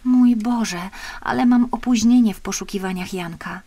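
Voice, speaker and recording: A woman's voice: medium pitch, normal speed, warm tone, pitched above the performer's own voice, lightly acted. A woman voicing an earnest, sweet little girl in a children's audiobook, speaking eagerly and plaintively. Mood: worried, resolute. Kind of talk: children's audiobook character acting.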